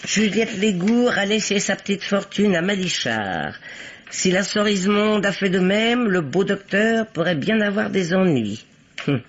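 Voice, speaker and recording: An actress playing a bossy, witty, prying older spinster in a theatrical old radio mystery. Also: female voice, medium pitch, expressive, measured pace, a little hiss or hum, lightly acted. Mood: intrigued, sly. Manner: grand, theatrical, teasing. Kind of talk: old French radio play acting. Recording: dull, lo-fi old radio recording.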